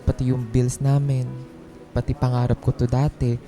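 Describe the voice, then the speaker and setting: A woman's voice: extremely low pitch, flat delivery, normal speed, over music. A woman acting as an earnest, put-upon office employee, polite and pleading, with a Filipino accent in a radio drama. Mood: weary, discouraged. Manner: deferential, pleading.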